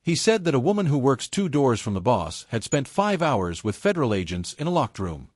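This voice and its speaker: Expressive male audiobook narrator, dry sound. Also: medium pitch, a little fast, dry recording. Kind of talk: scripted dramatic story narration.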